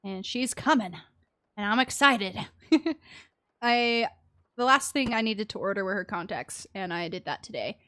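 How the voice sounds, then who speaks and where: A woman game streamer giving casual, chatty live commentary with an animated, empathetic manner. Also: mid-range voice, measured pace, no room sound. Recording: game music behind the voice.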